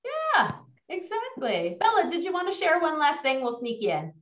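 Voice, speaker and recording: A high-voiced woman with a New York accent leads an online workshop as a coach. She is emphatic and self-assured. The sound is roomy and distant, as on a video call. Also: wildly swooping pitch, normal speed, fairly bright tone. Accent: New York American. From New York, United States. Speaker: executive coach leading a workshop. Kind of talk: webinar presentation to participants. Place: indoor room on a video call. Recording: dull, roomy, distant webinar audio.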